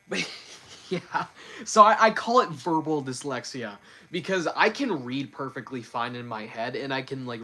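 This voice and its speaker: A man chatting loosely to his livestream in a bright, forward voice, his pitch sitting right in the middle. He trips over his words and starts sentences again and again, repeating himself as he goes. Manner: stumbles and repeats words, quick restarts. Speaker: livestream host. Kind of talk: casual livestream chat.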